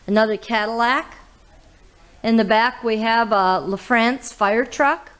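A woman, hosting a casual auction walkthrough in an old barn full of cars, listing items with little "uh" pauses. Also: fairly high pitch, steady tone, a bit bright, smooth. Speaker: auctioneer host giving a car walkthrough. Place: inside old barns and sheds full of cars.

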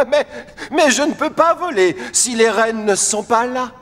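An actor playing a cheeky but hesitant enchanted sleigh in a cartoon, with a familiar, protesting manner. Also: male voice, very high voice, lively intonation, slow pace, a bit bright, full character voice. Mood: reluctant. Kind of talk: animated cartoon dialogue. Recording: reverberant hall, faint music.

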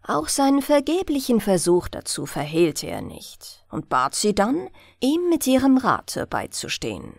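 A woman narrating a fairy tale as a bedtime audiobook, in a deliberate, expressive storytelling manner. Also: fairly high pitch, creaky, dry recording, clean studio sound.

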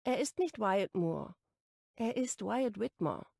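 A narrator plays a stressed young woman in a romance audiobook. She is candid and a little defensive, with wry edges. Her voice has noticeable vocal fry and sits very dry. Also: mid-range voice, lively intonation, slow pace, darkish tone, no room sound, exaggerated voice. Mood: confiding.

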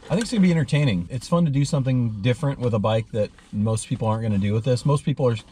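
A man in his forties chatting casually as a friend on a road trip, laid-back and quick to laugh, picked up by the host's camera inside a truck. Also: mid-range voice, dark tone, breathy edge. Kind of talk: casual banter. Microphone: host's camera, off to the side.

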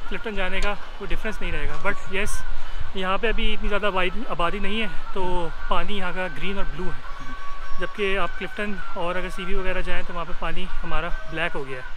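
A man's voice: high voice, steady tone, fairly quick, background noise. A man in his thirties with a Pakistani accent giving a casual interview answer, mixing in English words. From Karachi, Pakistan. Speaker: beach visitor being interviewed. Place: open sandy beach, outdoors. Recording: loud music over outdoor speech.

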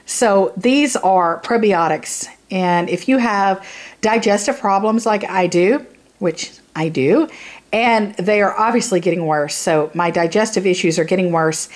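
A woman vlogger with a hoarse, raspy voice from sinus trouble, rambling casually through a supplement review to camera with lots of fillers. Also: mid-range voice, measured pace. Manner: rambling, chatty, many fillers and "you know what I mean". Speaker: YouTube beauty vlogger. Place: indoors, filming a product close up.

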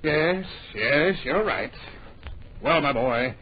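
An actor playing an eager, fussy older publisher in a radio drama, stammering and avuncular. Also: male voice, on the high side, expressive, takes it slowly. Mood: eager, anxious. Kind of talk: old radio drama acting. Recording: dull old radio recording, faint noise.

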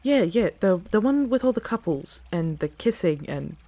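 A woman playing an earnest, playful dragon in human form in a fantasy ASMR roleplay, with a casual, teasing, halting delivery. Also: mid-range voice, very animated, mellow. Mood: curious.

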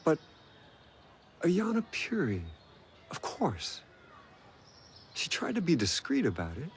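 Young game informant, highish slow voice swinging all over, theatrical, confiding. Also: male voice, huge pitch swings, a little hiss or hum, full character voice. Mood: knowing. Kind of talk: video game cutscene acting.

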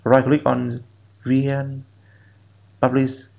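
Slow, halting man with a lo-fi mic. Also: medium pitch, monotone, vocal fry, darkish tone. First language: Vietnamese. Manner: slow, halting step-by-step instructions in non-native English. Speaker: programming instructor. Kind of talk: screen-recorded software tutorial.

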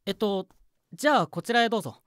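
An actor playing a junior studio mixer, polite, hesitant and resigned, in a voice drama. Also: male voice, high-pitched, quick pace, noisy background, lightly acted. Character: put-upon junior male studio mixer. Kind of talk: voice drama acting.

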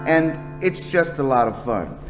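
A man's voice: medium pitch, sing-song, measured pace, music in the background. A middle-aged man teaching children to sing in an encouraging, friendly tutorial style. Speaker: music teacher. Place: stage with curtain, indoors.

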